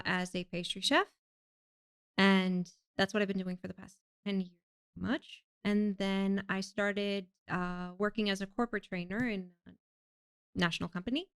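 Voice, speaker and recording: Smooth-voiced woman, podcast guest. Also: medium pitch, a bit bright. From Greece. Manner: chatty, laughs often, many ums. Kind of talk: casual interview chat.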